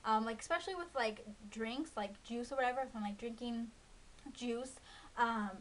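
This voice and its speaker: Young woman, early twenties, casual vlog chatter, rambling with fillers, slightly high voice, faint background hiss. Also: normal speed. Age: 20s. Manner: rambling, many ums and likes. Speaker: beauty vlogger. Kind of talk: casual chat to camera.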